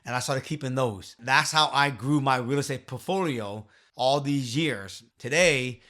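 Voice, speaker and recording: A man in his fifties telling his story to the camera in a small home office, earnest and emphatic, into a boom-arm studio mic. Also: a little slow, bright, forceful tone. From Vietnam. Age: 50s. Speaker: real estate investor and channel host.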